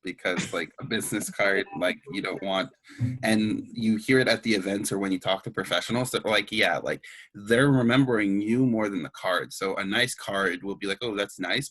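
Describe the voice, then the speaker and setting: A young man from Canada chats as a guest in a loose, rambling way. His voice is very low but bright and forceful. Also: brisk, dry recording, rough recording. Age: young adult. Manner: relaxed, rambling, filler-heavy. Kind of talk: casual conversation.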